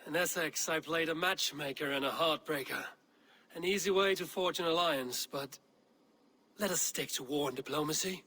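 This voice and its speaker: An actor playing a steady, diplomatic Viking warrior chieftain in a video game, measured and dryly wry. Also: male voice, on the high side. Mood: grave. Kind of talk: dramatic video game acting.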